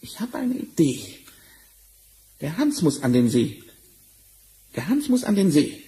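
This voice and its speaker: A man's voice: a little high, big pitch swings, measured pace, dark tone. A man playing a sly, bossy talking tomcat in a fairy-tale radio play, theatrical and wheedling. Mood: sly, confident. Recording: noisy vinyl record transfer.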